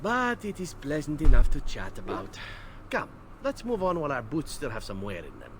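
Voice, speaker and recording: Callous male elf assassin for a fantasy game; smooth, articulate, very varied intonation, noticeable background noise. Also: medium pitch, huge pitch swings, moderate pace, fairly bright tone. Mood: breezy, candid. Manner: smooth, philosophical, unapologetic, articulate. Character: male elven assassin, carefree, callous about killing, suave. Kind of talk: video game character dialogue.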